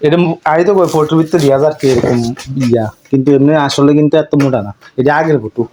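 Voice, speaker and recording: Casting: man, eastern Bengali village dialect, quick casual phone chatter, slightly high voice, faint noise behind. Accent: eastern Bengali rural dialect.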